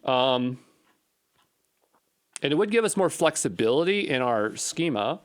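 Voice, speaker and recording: A man's voice: medium pitch, sing-song, measured pace. A man giving an unscripted coding walkthrough as an instructor, thinking aloud in a tentative, musing way and questioning himself. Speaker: programming instructor.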